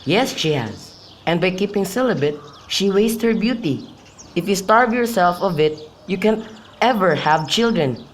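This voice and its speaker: Give this one to a melancholy young lover played by a man with a fairly high voice. He broods and pines in amateur radio-play style. The recording has faint noise and chirping birds behind it. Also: lively intonation, unhurried. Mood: pining, despairing. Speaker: student actor. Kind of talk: amateur radio drama acting, modern-English Shakespeare.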